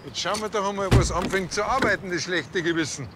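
A man's voice: high-pitched, fairly quick, heavy background noise, lightly acted. An actor with a Bavarian accent playing a cheeky, casual policeman in a TV crime comedy. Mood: breezy.

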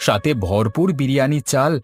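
A man's voice: medium pitch, normal speed, natural voice. A man voicing an eager, humble village husband who runs a food stall in a cartoon, with a coaxing, deferential manner. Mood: polite. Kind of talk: cartoon voice acting. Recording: music bed under the voice.

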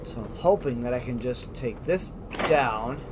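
A man's voice: mid-range voice, unhurried. A man giving casual let's play commentary as a gaming host, informally thinking aloud. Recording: clearly noisy, game ambience behind.

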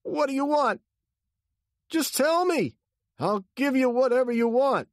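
A terrified delivery driver, acted by a male narrator in an audiobook. His voice jumps high and swings about as he pleads frantically. The sound is close and dry. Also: expressive, measured pace, no room sound, exaggerated voice. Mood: terrified, pleading.

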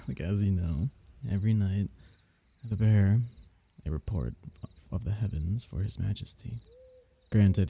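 A man acting as an affectionate fantasy court diviner for an ASMR roleplay. His voice is low and very dark and mellow, with gravelly fry at the edges. He speaks in courtly, teasing phrases, halting softly as he goes. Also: full character voice. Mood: earnest, intimate. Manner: halting, teasing, soft chuckles, courtly wording.

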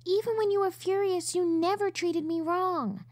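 A woman acting an earnest, playful teenage little sister in an audio drama, with halting, heartfelt delivery. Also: extremely high pitch, fairly even intonation, darkish tone. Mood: warm, reassuring.

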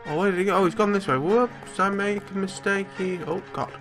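A man's voice: high-pitched, a little fast, over music. A man giving casual, improvised video game commentary, jokey and sweary, with bits of playful baby-talk. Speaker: let's play host.

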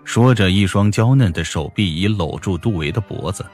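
A man's voice: sits low, animated, normal speed, darkish tone. A man narrating a fantasy novel as a scripted audiobook. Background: music behind the voice.

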